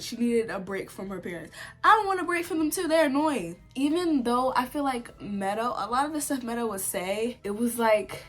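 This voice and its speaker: A young woman vlogger with a congested cold, chatting at home in a sit-down video and giving opinionated takes full of "like" and sudden animated outbursts. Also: mid-range voice. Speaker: vlogger reviewing a TV series. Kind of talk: casual sit-down chat to camera.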